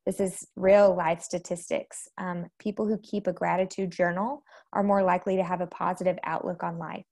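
An American woman hosting an informal devotional talk, candid and conversational, with a slightly rough, congested voice from allergies. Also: lowish voice, level tone, normal speed, darkish tone. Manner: candid, conversational, self-deprecating. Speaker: host of a faith-based live devotional and podcast.

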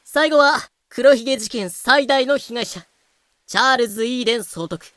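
A woman's voice: on the high side, normal speed, fairly bright tone, dry recording, clean studio sound, close to an everyday voice. A woman playing an aggrieved, earnest colonial official in a fan-made audio drama. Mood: earnest, explaining.

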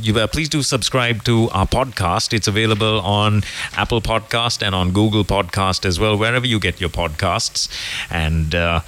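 A man's voice: on the low side, fairly bright tone. A man hosting a morning radio show, chatting casually and reading sponsor messages with a joking, rambling manner. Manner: jokey, rambling, self-correcting. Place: radio studio.

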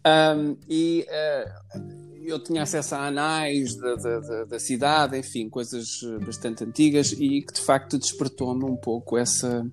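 A man's voice: mid-range voice, little pitch movement, unhurried. Hesitant Lisbon man, guitar behind. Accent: Lisbon Portuguese. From Portugal. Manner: hesitant, many fillers and restarts, jokey asides. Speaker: podcast guest. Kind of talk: casual podcast chat.

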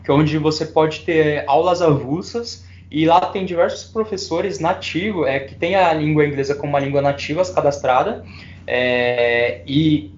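Brazilian male podcast co-host, relaxed and hesitant, higher voice, muffled recording. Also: a little hiss or hum. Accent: Brazilian Portuguese. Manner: relaxed, many filler "é" pauses, laughs easily. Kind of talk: casual chat. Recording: dull lo-fi sound.

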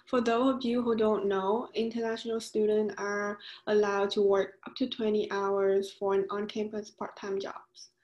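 A woman's voice: mid-range voice, echoey room. A young woman in her twenties from Vietnam, speaking English with a Vietnamese accent, giving earnest answers as an interview guest. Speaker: graduate student guest.

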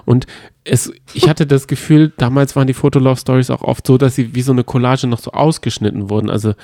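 A man's voice: medium pitch, fairly even intonation, quick pace, warm tone, dry recording, clean studio sound. A young man co-hosting a podcast, chatting casually in a joking, self-ironic way. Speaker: co-host of a reality TV podcast. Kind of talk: casual chat.

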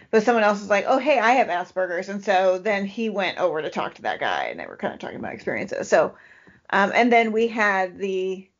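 A woman co-hosting a podcast, telling stories in a casual, chatty way with "like" sprinkled all through. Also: mid-range voice. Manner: chatty, uses "like" constantly. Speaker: podcast co-host. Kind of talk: casual conversational storytelling. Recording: dull lo-fi podcast audio.

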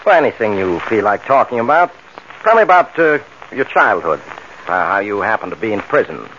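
Prison psychiatrist, muffled noisy radio. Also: male voice, fairly low pitch, sing-song, fairly bright tone. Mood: patient, probing. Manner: measured, professional. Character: patient, educated male prison psychiatrist. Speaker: actor in a radio drama. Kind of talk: 1940s radio acting.

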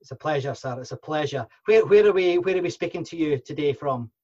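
A man's voice: a little high, expressive, fairly quick, a little mellow, highs cut off. A Scottish man hosting a conversational podcast interview in a polite, enthusiastic manner.

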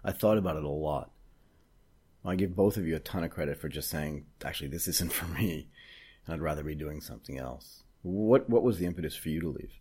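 Middle-aged male podcast host, chatty, very deep, husky voice. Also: brisk, mellow. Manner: chatty, friendly, asks long questions. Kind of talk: casual interview.

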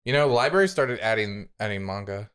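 An American man co-hosting a podcast in casual comedic chat, playful and quick to laugh. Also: lowish voice, animated, a bit bright, clear, resonant tone. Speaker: podcast co-host.

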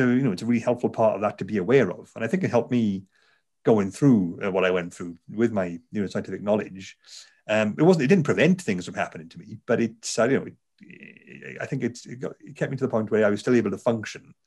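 Welsh male author, lower register, conversational interviewee, lots of restarts. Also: expressive, fairly quick, a little mellow. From South Wales. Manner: chatty, frequent fillers and restarts. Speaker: author, neuroscientist.

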